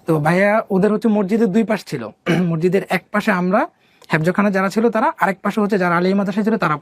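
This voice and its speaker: A young man from Bangladesh, an interview guest telling his life story softly and hesitantly, with many pauses and fillers. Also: high-pitched, dry recording, studio quality. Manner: soft-spoken, hesitant, many fillers and restarts.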